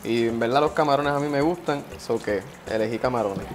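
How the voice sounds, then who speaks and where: A man's voice: mid-range voice, a little fast, noisy background. A man in his forties with a Puerto Rican accent, chatting casually as a cooking show guest and often repeating his phrases. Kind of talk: casual chat. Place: home kitchen. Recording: music playing behind the voice. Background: music.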